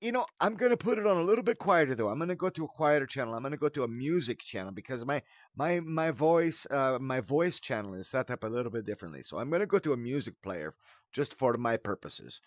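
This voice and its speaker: A man's voice: mid-range voice, sing-song, fast talker, no room sound. A man hosting a casual game tutorial in a theatrical way, mixing mock-formal wording with folksy slang. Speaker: tutorial host. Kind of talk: casual spoken game tutorial with showy greeting.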